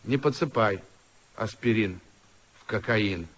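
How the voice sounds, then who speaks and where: A man's voice: fairly low pitch, takes it slowly, noisy background. A man performing in a radio play, boastful and swaggering in a theatrical way.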